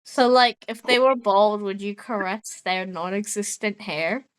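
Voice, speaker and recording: A woman's voice: mid-range voice, clean tone, poor audio. A woman hosting a show, joking and teasing in casual chat, often breaking into giggles. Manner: giggly, teasing, deliberately jokey. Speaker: show host.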